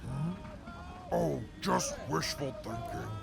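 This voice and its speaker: A man voices a haughty, mocking male sidekick in a wasteland audio drama. He talks slowly in a heavy gravelly creak, formal and wordy, with music underneath. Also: mid-range voice, expressive, full character voice. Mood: smug, gloating. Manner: pompous, formal, drawn-out, verbose.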